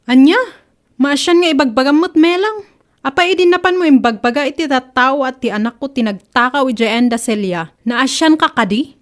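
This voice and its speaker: A wronged neighbour acted by a woman in an Ilocano radio comedy drama. She speaks a little high, stern yet forgiving, with an Ilocano accent. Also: lively intonation, brisk. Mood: indignant.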